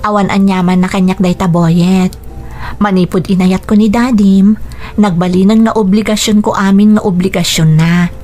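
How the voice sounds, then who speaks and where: An actress as a gentle, earnest stepmother. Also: medium pitch, fairly even intonation, normal speed, faint music behind, natural voice. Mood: gentle, reassuring. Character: patient, dutiful stepmother and wife troubled by mother-in-law. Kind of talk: Philippine radio drama acting.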